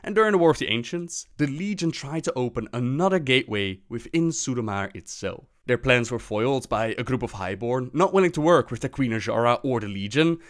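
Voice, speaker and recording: Man narrating fantasy lore, medium pitch. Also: animated, a little fast, hardly any pauses. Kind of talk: scripted storytelling narration with personal asides.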